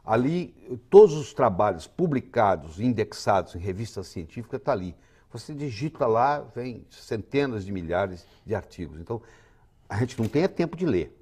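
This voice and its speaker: A man's voice: lowish voice, very animated, moderate pace, warm tone, breathy edge. A man in his sixties with a Brazilian accent, a physician giving confident, lecturing explanations as an interview guest. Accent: Brazilian Portuguese. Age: 60s.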